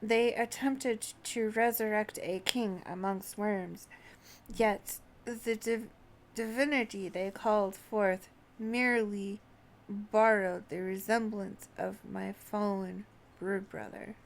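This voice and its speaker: Woman reading as a slow, solemn ancient dragon. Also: mid-range voice, steady tone, slight background noise. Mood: grave, lecturing. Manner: solemn, measured, archaic diction. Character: ancient male dragon, scornful, grieving and world-weary. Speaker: streamer reading out translated game dialogue. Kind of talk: archaic fantasy dialogue read aloud.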